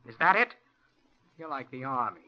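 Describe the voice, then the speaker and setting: A man's voice: medium pitch, very animated, moderate pace. Paranoid young handyman. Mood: bitter. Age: young adult. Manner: paranoid, resentful, quietly menacing. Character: disturbed young male handyman, paranoid and menacing. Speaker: unstable handyman. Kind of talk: 1940s radio drama acting. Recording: muffled 1940s radio broadcast.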